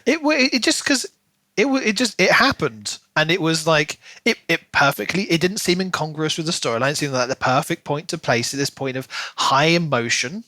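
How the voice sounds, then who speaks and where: Man, podcast co-host, bright tone, casual discussion, opinionated and analytical, dry close sound. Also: a little high, expressive, a little fast, no room sound. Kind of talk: casual book discussion chat.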